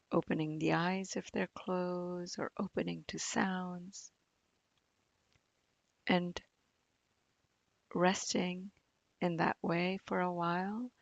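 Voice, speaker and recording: Slow, low-voiced woman guiding meditation. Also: gravelly, no echo, dull audio. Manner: gentle, soothing, unhurried instruction with long pauses. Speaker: meditation teacher. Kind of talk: guided body scan meditation.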